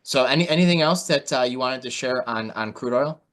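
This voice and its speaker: A man's voice: mid-range voice, fairly quick, a bit bright. A man hosting an online interview, giving informal, conversational market commentary peppered with "you know". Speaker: host and market analyst. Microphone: video call.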